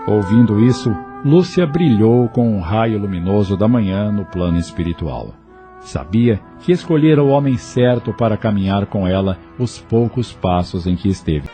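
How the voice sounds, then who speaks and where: A man's voice: fairly low pitch, expressive, normal speed, dark tone, faint music behind. A man with a Brazilian accent giving scripted narration for an audio novel.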